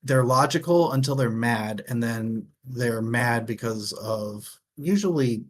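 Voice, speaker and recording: Slow-talking man in casual book chat. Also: medium pitch. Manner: halting, thinking aloud, swears casually. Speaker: co-host of a book discussion show.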